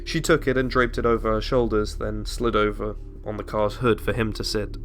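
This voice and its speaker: A man's voice: on the low side, steady tone, moderate pace, soft background music. A man narrating a scripted story as a dramatic audiobook reading.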